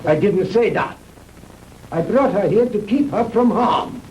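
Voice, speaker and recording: An actor playing a proud, fanatical mad scientist with a German accent, courteous and condescending, in 1960s B-movie style. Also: male voice, sits high, darkish tone. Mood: insistent. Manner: grandiose, condescending, deliberate. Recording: noisy old 1960s film soundtrack.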